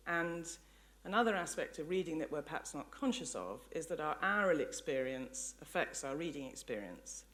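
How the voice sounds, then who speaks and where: A woman with an English accent giving a public academic lecture, thinking aloud in reflective, sometimes hesitant phrasing with self-corrections. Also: low voice. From England. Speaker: lecturer, professor. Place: lecture hall before an audience.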